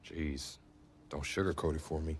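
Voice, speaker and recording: An actor playing an eager, wry young college quarterback in a video game drama, casual and expressive. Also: male voice, extremely low pitch, moderate pace, slight background noise. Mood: wry, resigned. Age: young adult.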